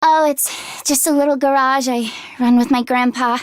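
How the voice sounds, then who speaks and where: A woman voicing an earnest, anxious teenage girl mechanic in a video game, talking in a casual, expressive, youthful way. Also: sits high, normal speed, no echo, lightly acted. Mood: friendly, curious. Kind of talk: English video game voice acting.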